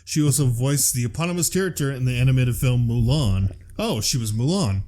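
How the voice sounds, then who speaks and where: The guy on a hobby movie podcast you put on late at night, swearing casually as he reads out trivia at an everyday middle pitch. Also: expressive, brisk, warm tone. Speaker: podcast host. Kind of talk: casual chat, reading out film trivia.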